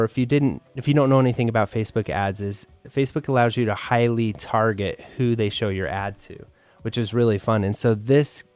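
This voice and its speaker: A man's voice: mid-range voice, darkish tone, dry recording. A man co-hosting a podcast, sharing news and tips in a casual, conversational, explanatory way. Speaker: podcast co-host.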